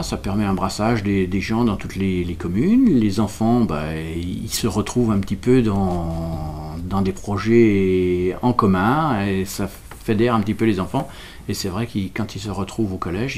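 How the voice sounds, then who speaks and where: A man from France giving explanatory interview answers as a local representative, halting and correcting himself as he searches for words. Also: on the low side, gravelly, a little mellow, a little hiss or hum. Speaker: local representative explaining a village school project.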